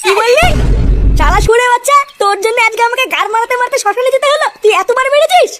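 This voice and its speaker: A woman's voice: extremely high pitch, animated, quick pace, fairly bright tone. A woman voicing a foul-mouthed, bickering village boy in a comic cartoon, brash and scolding.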